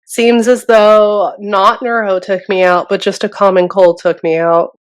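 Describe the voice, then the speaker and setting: A woman in her thirties co-hosting a podcast, nasal from a cold, relaxed and joking in casual chat. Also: mid-range voice, a little slow, smooth. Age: 30s. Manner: laughs easily, relaxed and joking. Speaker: podcast co-host.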